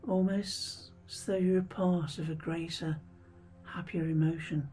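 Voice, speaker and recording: A woman leading a scripted guided meditation in a soothing, hushed, breathy voice with gentle pauses. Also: on the low side, steady tone. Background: background music.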